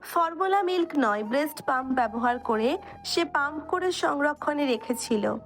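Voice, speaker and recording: A woman's voice: high voice, lively intonation, normal speed. A woman reading scripted narration for a romantic story. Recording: music under the voice. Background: background music.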